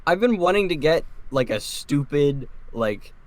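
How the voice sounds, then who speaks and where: A man chatting casually on a podcast, excitable and rambling, laughing often as he talks. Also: on the high side, measured pace, no room sound, poor audio. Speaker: podcast co-host, voice actor. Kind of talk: casual chat.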